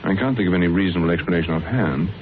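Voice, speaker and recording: An actor in a radio drama playing a courteous, unflappable detective with a dry way of questioning. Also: male voice, low-pitched, close to an everyday voice. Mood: calm, considering. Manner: calm, measured questioning, dry. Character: unflappable, dryly courteous male private detective. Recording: tinny band-limited radio recording with hiss.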